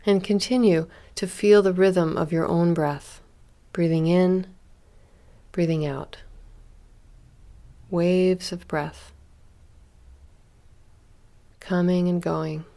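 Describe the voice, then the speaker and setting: Female meditation instructor, slightly low voice, calm and gentle, unhurried phrasing with long pauses. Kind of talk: guided body scan meditation.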